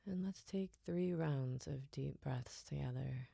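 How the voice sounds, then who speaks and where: A woman guiding a relaxation meditation in a soft, soothing, unhurried way, her phrases often trailing off breathily toward a whisper. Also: extremely low pitch, vocal fry, slightly rough, no room sound, studio quality. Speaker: yoga nidra guide.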